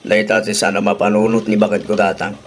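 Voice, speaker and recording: Husky, grumbling, thrifty Ilocano husband. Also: male voice, on the low side, flat delivery, fast talker. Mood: respectful, complaining. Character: thrifty, exasperated husband, grumbling about costs. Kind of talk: Ilocano radio drama acting.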